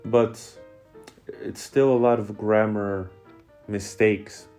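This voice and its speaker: A man from Colombia, chatting casually and haltingly, switching between basic Russian and English. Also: lowish voice, steady tone, darkish tone, faint music behind. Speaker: vlogger's husband. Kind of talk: casual chat.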